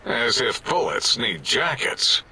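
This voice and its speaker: A paranoid machine scientist from a video game, raspy and forcefully sharp. He declaims in slow, halting, grandiose phrases, with a pompous and irritable temper. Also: mid-range voice, sing-song, heavily acted, poor audio. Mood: musing, fussy. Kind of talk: video game character acting.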